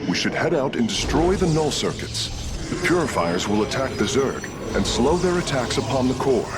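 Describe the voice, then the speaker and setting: A man's voice: mid-range voice, big pitch swings, normal speed, heavy background noise, lightly acted. An actor playing an analytical, precise male alien engineer in a video game, speaking formally. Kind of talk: game cutscene voice acting.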